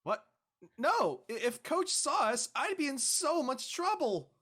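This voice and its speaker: A woman playing a cautious, easygoing high school student who talks in casual slang in a scripted drama. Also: sing-song, measured pace, fairly bright tone, heavily acted. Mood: alarmed.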